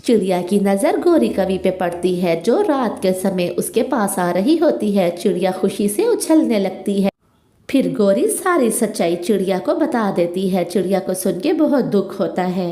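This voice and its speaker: गूँज में कहानी सुनाती मद्धम आवाज़ वाली महिला. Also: female voice, mid-range voice, animated, moderate pace, mellow. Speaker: narrator. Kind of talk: scripted children's story narration. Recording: roomy echo.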